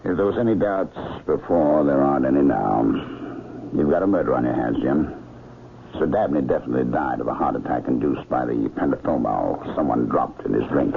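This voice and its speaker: A man playing a blunt, loyal doctor in a vintage radio drama, gravelly, frank and emphatic. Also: extremely low pitch, lively intonation, fairly quick, full character voice. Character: blunt, loyal male island doctor arguing with policeman. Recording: tinny, faintly hissy old radio recording.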